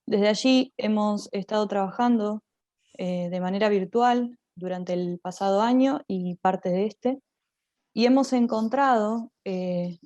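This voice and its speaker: Mellow-voiced Argentine woman presenting. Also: medium pitch, no echo. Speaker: drama teacher presenting at a conference. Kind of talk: spoken conference presentation.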